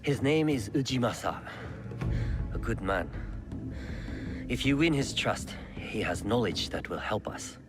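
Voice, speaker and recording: An actor playing a weary, ailing samurai lord in a video game, speaking in a formal, measured way. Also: male voice, medium pitch, animated, music in the background, natural voice. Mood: earnest, resigned. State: coughing, sickly. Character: weary, ailing nobleman, a deposed samurai clan head. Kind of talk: dramatic video game cutscene acting.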